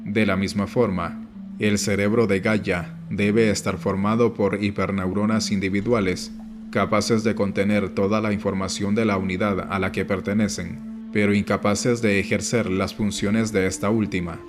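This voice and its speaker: Male narrator, low voice, flat intonation, formal audiobook reading, music behind. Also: moderate pace. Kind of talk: scripted audiobook narration of nonfiction. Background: background music.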